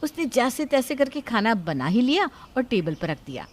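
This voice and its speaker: Woman narrator telling a moral story, high voice, scripted read. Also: very animated, normal speed.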